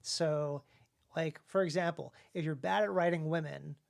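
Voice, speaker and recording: A man in casual conversation as a podcast co-host. He explains things in a halting way, often circling back to rephrase before landing his point. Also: on the high side, fairly even intonation, vocal fry.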